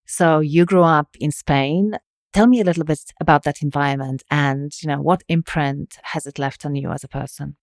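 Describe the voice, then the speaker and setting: A woman's voice: sits low, steady tone, normal speed, darkish tone, dry recording, studio quality. A woman hosting a podcast, reading a scripted introduction and then asking interview questions in an articulate, enthusiastic way. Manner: enthusiastic, articulate, laughs easily. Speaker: podcast host.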